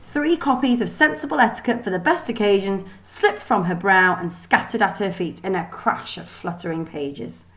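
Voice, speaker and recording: A woman reading a children's story aloud in an expressive, deliberate way with dramatic emphasis. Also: mid-range voice. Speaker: teacher reading a book aloud.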